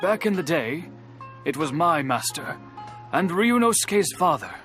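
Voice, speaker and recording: An actor playing a proud older master craftsman in an anime-style video game, formal, measured and earnest. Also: a little high, big pitch swings, loud music behind the voice.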